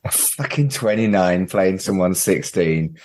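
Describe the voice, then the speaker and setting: Male podcast host, kinda low voice that moves up and down a lot, chatty. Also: expressive, unhurried. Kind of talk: casual conversational hosting.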